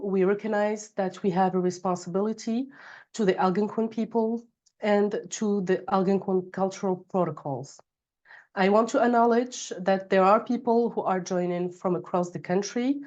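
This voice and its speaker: A woman's voice: medium pitch, mellow, lo-fi sound. Woman hosting a webinar with a cold. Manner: bilingual, reads housekeeping notes with frequent fillers. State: recovering from a cold. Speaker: webinar host. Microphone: video call.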